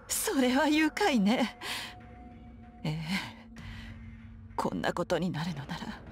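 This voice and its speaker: An actress playing a bitter, menacing sorceress in a visual novel, with a theatrical, scornful delivery. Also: female voice, high voice, slight background noise, full character voice. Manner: theatrical, scornful, slides between murmurs and outcries. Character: bitter female dark sorceress, mocking, grieving, menacing. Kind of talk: Japanese visual novel voice acting.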